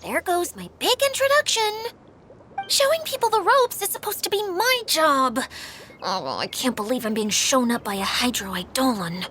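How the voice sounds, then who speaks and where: A young fantasy game guide with a high, swooping voice. She is showy and theatrical, easily deflated and prone to flustered hesitation. There is faint noise on the recording. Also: animated, normal speed, bright, forceful tone. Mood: deflated, indignant. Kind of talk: video game character acting.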